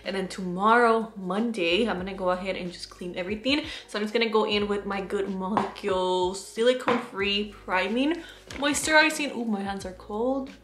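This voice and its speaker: A woman's voice: mid-range voice, normal speed, a bit bright, roomy sound, slight background noise. A young woman in her twenties chatting casually to camera as a beauty vlogger, with lots of fillers, in a small bedroom. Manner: chatty, filler-heavy, addresses viewers as "you guys".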